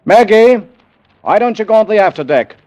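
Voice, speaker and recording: A man playing a confident, affectionate ship's officer in a classic radio drama, with a hearty, expressive delivery. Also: sits high, moderate pace. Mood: brisk, concerned. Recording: muffled, noisy old radio recording.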